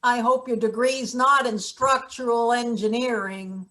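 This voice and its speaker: A woman playing a sardonic, deadpan maintenance boss in a table read of a satirical play. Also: a little high, unhurried. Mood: dry, blunt. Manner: deadpan, blunt. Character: gruff, sardonic female maintenance worker boss.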